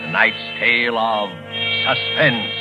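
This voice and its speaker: A man's voice: lowish voice, steady tone, rough recording. Formal 1940s male announcer over music. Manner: measured, formal, dramatic. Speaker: radio show announcer. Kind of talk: 1940s radio announcing, scripted. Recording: old radio recording, music behind.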